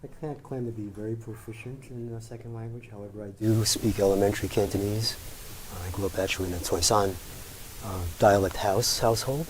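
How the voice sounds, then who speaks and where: This man answers a moderator at a candidate forum, pausing often and sounding unsure. His voice sits slightly low. A faint hiss runs under the recording. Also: steady tone, rough recording. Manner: hesitant, halting. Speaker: school board candidate.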